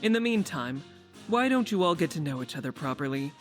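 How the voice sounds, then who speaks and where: Composed, commanding rebel leader. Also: female voice, sits low, sing-song. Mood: firm. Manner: measured, formal, commanding. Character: composed, authoritative female resistance leader. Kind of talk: video game quest dialogue. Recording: faint background music.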